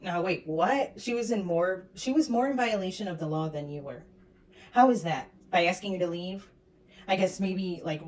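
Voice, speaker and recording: A woman's voice: lowish voice, a little mellow, recorded from a distance, slight background noise, poor audio. A woman giving critical reaction commentary in a sarcastic, disapproving manner. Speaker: commentator reacting to clips of a streamer.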